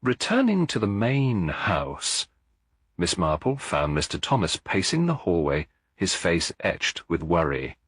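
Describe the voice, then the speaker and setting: A man reading a scripted story as an audiobook narrator, in a measured, literary manner. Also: sits low, huge pitch swings, breathy edge, dry recording, clean studio sound.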